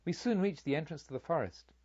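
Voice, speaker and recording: A man's voice: a little high, lively intonation, fast talker, mellow, slightly rough, dry recording. Dry, slightly dull-sounding man narrating a story. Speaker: audiobook narrator. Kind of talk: scripted literary narration.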